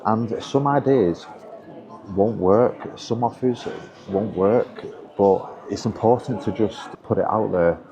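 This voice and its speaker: A deep-voiced man speaks straight to camera as an online business coach, earnest and encouraging. He often restarts his sentences. Crowd chatter carries behind him. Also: slow, dull audio. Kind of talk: casual motivational talk to camera.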